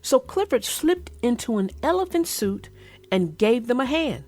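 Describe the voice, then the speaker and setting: Woman, children's storybook host, higher voice, animated intonation, deliberate delivery. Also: soft background music. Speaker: children's book narrator and host. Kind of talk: storybook read-aloud and casual chat.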